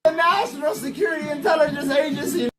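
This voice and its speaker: A man on a livestream clip chanting and singing the same phrases over and over in shouts. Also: very high-pitched, steady tone, quick pace, over a noisy crowd. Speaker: streamer in a played clip. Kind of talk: shouted, sung livestream antics. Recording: livestream clip with loud music. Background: loud music.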